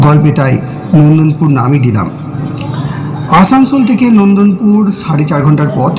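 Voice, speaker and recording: Higher-pitched Indian man narrating over music. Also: rough recording. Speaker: audio story narrator. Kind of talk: scripted first-person story narration. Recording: music playing behind the voice. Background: clear background music.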